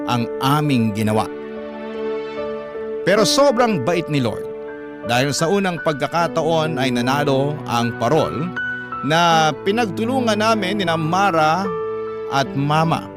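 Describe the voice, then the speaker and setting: A man with a medium-pitched voice reads a scripted first-person story as a radio drama narrator. Loud music plays behind his voice. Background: loud background music.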